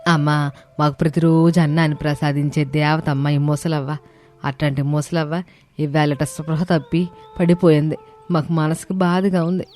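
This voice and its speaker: A woman voicing a devout, reassuring village aunt in an animated moral story. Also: low-pitched, normal speed, pitched below the performer's own voice, natural voice. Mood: prayerful, sad. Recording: music behind the voice.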